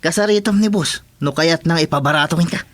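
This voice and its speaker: A man's voice: on the high side, a little fast, close to an everyday voice. A man playing a jeering gang sidekick in a radio drama, with an Ilocano Filipino accent.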